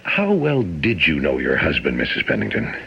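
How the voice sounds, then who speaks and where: A man playing a stern, suspicious military captain in a radio mystery, speaking formally and deliberately as in an interrogation. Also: a bass voice, huge pitch swings, vocal fry, sharp tone, full character voice. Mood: coolly probing. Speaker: radio drama actor. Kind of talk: old-time radio mystery acting. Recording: muffled, noisy old radio drama recording.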